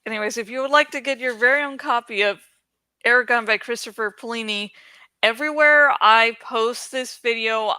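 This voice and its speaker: A woman's voice: a little high, bright, forceful tone, no echo. A woman YouTuber talking casually to her viewers in a playful, rambling, self-deprecating way. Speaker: YouTuber who reads books aloud. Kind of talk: casual, chatty vlog talk to viewers.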